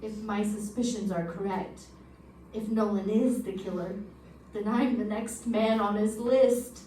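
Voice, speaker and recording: A woman's voice: medium pitch, fairly even intonation, unhurried, dark tone, close to an everyday voice. A woman playing an anxious, earnest man with information to give in an old-time radio drama. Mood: grave, convinced. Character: anxious, earnest male informant fearing for his life. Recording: echoey room, distant mic, background noise.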